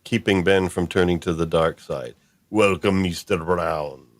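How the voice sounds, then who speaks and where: A man's voice: deep voice, measured pace. A man hosting a casual discussion, chatting in a conversational way. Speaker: podcast host. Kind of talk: casual chat.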